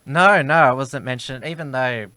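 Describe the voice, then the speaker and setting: A man co-hosting a political talk stream, getting loud and emphatic when indignant. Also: mid-range voice, moderate pace. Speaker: co-host of a political livestream.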